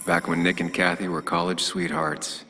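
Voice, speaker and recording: An actor playing a weary, sardonic journalist loner in a video game, with a wry, self-musing manner. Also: male voice, deep voice, level tone, moderate pace, pushed and bright, big-room sound, a little hiss or hum. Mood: pensive, wry. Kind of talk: video game dramatic acting and inner monologue.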